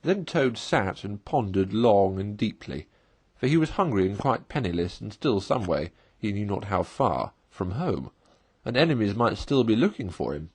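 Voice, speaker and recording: A man reading a classic story aloud as narrator, in an expressive storytelling style. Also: fairly low pitch, normal speed, husky.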